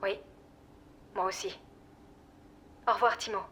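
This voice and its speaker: An actress playing a weary, exasperated former partner in audio fiction, blunt, sarcastic and firm. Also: female voice, medium pitch, fairly even intonation, a little slow, sharp tone, slight background noise. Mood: reproachful. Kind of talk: audio fiction drama acting.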